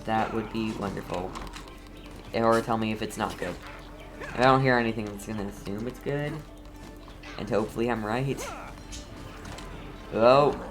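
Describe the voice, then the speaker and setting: A game streamer with a mid-low voice chats casually at a slow pace. The delivery is playful and mock-scolding, with game music clearly behind. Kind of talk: casual gameplay commentary.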